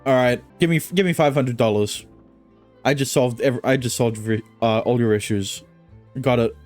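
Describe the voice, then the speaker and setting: A man's voice: medium pitch, normal speed, darkish tone, soft background music. A man streaming a game, improvising casual, joking replies to the dialogue with a stammering delivery.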